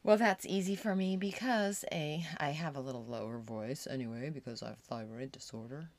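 A woman's voice: a bass voice, moderate pace. A mother co-hosting a podcast, chatting in an agreeable, affirming way. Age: middle-aged mother. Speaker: podcast co-host. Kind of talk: casual podcast chat.

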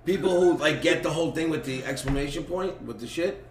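A man's voice: a little high, a little fast. A man co-hosting a casual podcast, joking and profane in loose banter. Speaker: podcast co-host. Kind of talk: casual chat. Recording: roomy, distant mic with faint noise.

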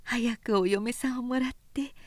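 A woman's voice: fairly high pitch, moderate pace, darkish tone, dry recording, clean studio sound, close to an everyday voice. A woman reading a story, voicing an anguished, drunk young woman in a thin, wavering, old-fashioned feminine voice. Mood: tipsy pleading.

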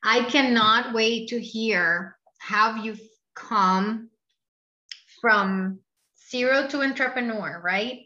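Bright, enthusiastic woman host. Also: on the high side, slow pace, muffled recording. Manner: enthusiastic, effusive. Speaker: podcast host, interviewer. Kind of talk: casual interview chat.

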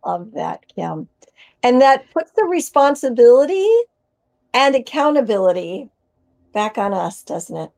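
A woman with a somewhat high voice hosts an interview podcast. She greets and questions her guest with effusive enthusiasm. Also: very animated, unhurried, vocal fry, darkish tone. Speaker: podcast host. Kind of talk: casual interview hosting.

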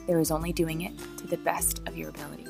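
A woman reading a scripted, reflective podcast intro in a soft, partly whispered way, over music. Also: deep voice, flat delivery, fast talker, creaky. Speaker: podcast host.

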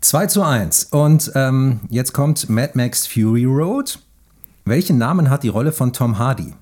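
A man with a mid-range, slightly husky voice hosts a casual quiz. His pitch moves around in a lively way as he asks questions and banters as quizmaster. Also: measured pace. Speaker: podcast host and quizmaster.

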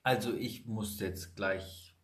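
A man's voice: on the low side, takes it slowly, noisy background. A man with Italian roots talking bluntly and emphatically in a casual conversation, telling stories from his own life. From Italy. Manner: emphatic, blunt, animated storytelling.

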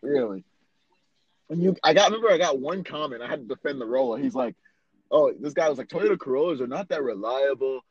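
A man's voice: medium pitch, animated, fast, darkish tone, husky, no echo. Guy joking around and bragging on a podcast call, dry kinda muffled sound. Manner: joking, boastful, swears casually. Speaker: podcast co-host. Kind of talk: casual chat between friends. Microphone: remote call mic.

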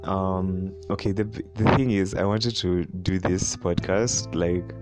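A young Kenyan man with a deep voice and a Kenyan accent, chatting casually on his own podcast, rambling with many fillers and frequent laughs. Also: steady tone, slow pace, music in the background. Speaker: podcast host. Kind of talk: casual solo podcast chat.

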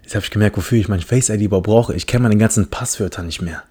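A man from the Philippines talks alone on his podcast in a rambling, self-mocking way, often restarting his sentences. His voice sits a little low and sounds mellow and warm, not sharp. He keeps to relaxed, casual storytelling. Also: quick pace, slightly rough. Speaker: podcast host. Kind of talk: casual solo podcast monologue.

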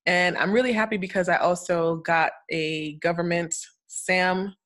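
Chatty young woman, lowish voice. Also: fairly even intonation, a little slow, fairly bright tone. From Florida. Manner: chatty, laughs often between phrases. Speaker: guest, cybersecurity consultant. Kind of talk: casual interview talk.

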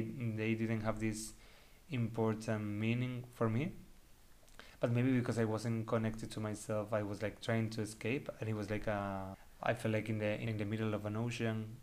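Low-voiced man hosting a casual podcast. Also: a little mellow. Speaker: podcast host. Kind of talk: casual conversational interview, personal reflection.

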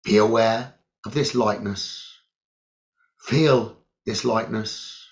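A man leading a guided meditation as a teacher, giving calm, deliberate instructions in short phrases with long pauses. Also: lowish voice. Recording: dull, lo-fi sound.

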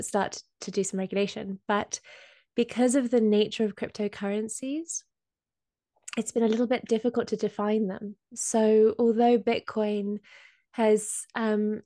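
A woman with a slight cold, explaining finance topics in a casual podcast chat with many pauses and ums. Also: medium pitch, warm tone, dry recording, clean studio sound. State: has a cold. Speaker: co-host explaining crypto.

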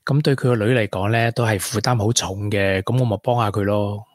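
An actor playing a regretful former tech prodigy in a radio drama, with a Hong Kong accent and earnest delivery. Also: male voice, fairly low pitch, fairly even intonation, brisk, no room sound, clean studio sound, lightly acted. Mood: confessional. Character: regretful young ex-tech prodigy, confessing to a woman. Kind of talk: Cantonese radio drama acting.

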